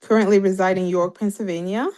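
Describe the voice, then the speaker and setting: A woman from Cameroon speaking on a video call in a halting, hesitant way. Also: medium pitch, steady tone, a little mellow.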